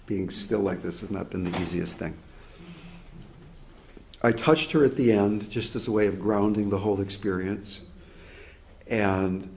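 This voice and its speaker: A man teaching a meditation workshop to a group, speaking slowly and reflectively in a low, relaxed voice.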